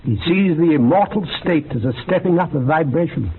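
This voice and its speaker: An actor playing an earnest, bookish occult researcher in a radio drama, lecturing in a measured, gravelly, husky voice. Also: male voice, a little high, animated. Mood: scholarly, absorbed. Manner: measured, lecturing, quotes texts with relish. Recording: muffled phone-like old radio recording.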